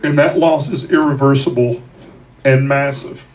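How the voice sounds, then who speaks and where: A man giving a eulogy at a memorial gathering in a deliberate, declarative way, with dramatic pauses between phrases. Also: medium pitch, steady tone, a little mellow. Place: bar venue, captured from the audience. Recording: distant mic in a noisy bar.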